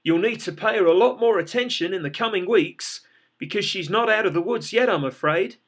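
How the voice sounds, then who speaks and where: A man's voice: high-pitched, a little fast, a bit bright, pitched above the performer's own voice. A man voicing an urgent male paramedic who explains things firmly and with authority.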